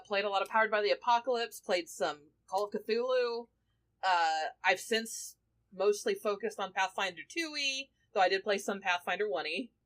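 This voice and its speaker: A woman's voice: mid-range voice, a bit bright, rough recording. A woman chatting casually as a panelist on a tabletop gaming podcast. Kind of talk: casual chat.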